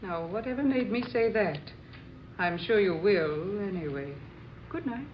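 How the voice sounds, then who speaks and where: An actress playing a stern, imperious medium in an old-time radio drama, speaking in a formal, commanding manner. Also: female voice, medium pitch, expressive, unhurried, close to an everyday voice. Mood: cool, weary. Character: stern, imperious married woman who works as secretive medium. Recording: muffled, noisy old radio recording.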